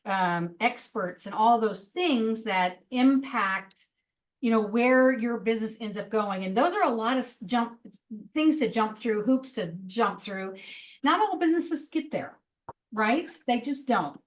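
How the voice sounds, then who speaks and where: A woman host talks with her guest over a video call from an office. She is encouraging and quick with affirmations, nudging the conversation along. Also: on the high side, animated. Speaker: interviewer, show host.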